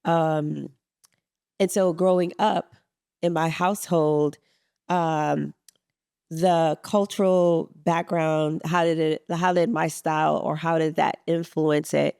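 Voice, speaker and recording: A woman from Suriname co-hosting a podcast in casual chat, affirming and measured. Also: sits low, steady tone, gravelly, a little mellow, clean tone, no room sound, clean studio sound. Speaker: podcast co-host.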